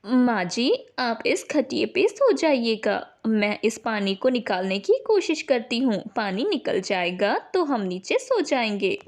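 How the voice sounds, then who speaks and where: A woman voicing a young, dutiful new daughter-in-law in a family story, gentle, earnest and reassuring. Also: fairly high pitch, very animated, unhurried, few pauses. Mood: consoling.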